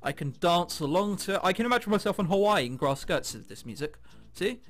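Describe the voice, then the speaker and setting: Animated, bright-voiced man reading aloud. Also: sits high, fairly quick. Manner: jokey, animated asides. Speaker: gaming video host. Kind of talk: reading a visual novel aloud with casual commentary. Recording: music behind the voice. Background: game background music.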